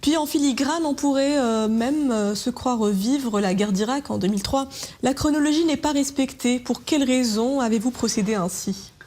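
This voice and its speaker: A woman hosting a cultural segment on a television news program, her voice fairly high. She asks long, measured questions with an occasional filler. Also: a little mellow. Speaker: TV news presenter. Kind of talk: TV news interview. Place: TV studio.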